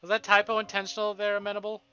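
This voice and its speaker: A man live-streaming a visual novel, reading it aloud and breaking into casual, expressive asides with swearing. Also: high voice, rapid-fire, clean tone, muffled recording. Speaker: game streamer. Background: game music.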